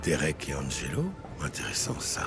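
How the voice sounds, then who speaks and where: A gravelly, very low-pitched police lieutenant, bitter and world-weary, in a French noir audio drama. His terse, brooding lines swing widely in pitch, with loud music underneath. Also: animated, moderate pace, heavily acted. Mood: menacing, probing. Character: hard-boiled, bitter, weary male New York police lieutenant.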